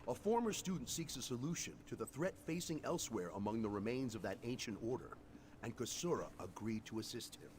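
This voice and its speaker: A man's voice: medium pitch, lively intonation, fairly quick, darkish tone, a little hiss or hum, close to an everyday voice. A man playing an honorable, earnest sword apprentice in a fantasy video game, with formal, solemn wording. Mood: earnest, urgent. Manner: formal, solemn herald-like delivery.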